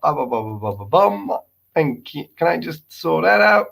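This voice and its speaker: A man's voice: on the high side, sing-song, moderate pace, gravelly, fairly bright tone. A man hosting a live stream, chatting playfully and reading out viewer comments while losing his voice. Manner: playful and jokey, repeating exclamations.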